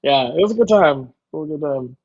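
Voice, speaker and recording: A man who is a chef, chatting casually as a guest and telling stories in an animated way, acting out what people said. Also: on the high side, fast, dull audio. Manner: animated, acts out quoted remarks. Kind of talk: casual chat, storytelling.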